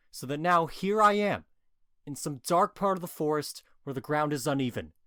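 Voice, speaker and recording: A man's voice: medium pitch, dry recording, lightly acted. Hot-headed young lover acted by a man, dry sound. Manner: emphatic, theatrical, sighing. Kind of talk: audio drama acting of modernized Shakespeare.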